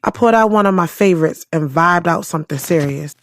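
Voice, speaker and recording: A woman's voice: on the low side, fast, close to an everyday voice. A woman playing a sassy young writer, telling her life story frankly and conversationally in an audio drama, with some cursing. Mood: reflective. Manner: frank, profane, confiding.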